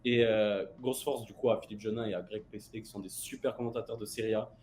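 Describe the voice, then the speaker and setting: An Italian-French man moderating a casual football discussion, asking relaxed, filler-heavy questions. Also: lowish voice, a little fast, slight background noise. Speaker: host and moderator.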